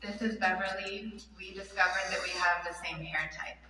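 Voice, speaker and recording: A woman's voice: on the low side, fairly even intonation, normal speed. A woman introducing herself on a theatre stage in a light, playful way, recorded far off in an echoey hall. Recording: echoey hall, mic far off, noise behind.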